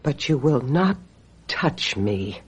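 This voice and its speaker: A low, husky female voice playing a vengeful witch in a radio mystery. She is coldly seductive and deliberate, coating her menace in mock sweetness. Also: sing-song, lo-fi sound, heavily acted. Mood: coldly triumphant. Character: vengeful, scheming woman, a self-styled witch, coldly seductive. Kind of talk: old-time radio mystery acting.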